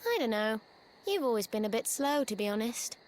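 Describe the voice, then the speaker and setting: A woman's voice: on the high side, very animated, a little fast, a little mellow, slight background noise. An actress voicing a blunt, confident little girl mage in a video game. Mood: frank. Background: faint game music.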